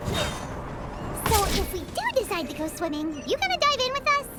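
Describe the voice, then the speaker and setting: A woman voicing a small, childlike, excitable fairy companion in a video game, squeaky and expressive, blurting out her lines. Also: measured pace, very noisy, full character voice. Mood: anxious, doubtful. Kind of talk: scripted video game character dialogue. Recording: game audio with loud music behind. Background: music.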